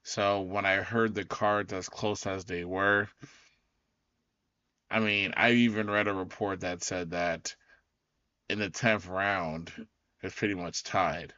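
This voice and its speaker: A man hosting his own podcast in a loose, rambling way, his voice raspy as it recovers. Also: low-pitched, fairly even intonation, takes it slowly, long pauses, bright, forceful tone, no echo, muffled recording. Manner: loose, rambling, full of fillers and restarts. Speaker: podcast host. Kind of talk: casual solo recap talk.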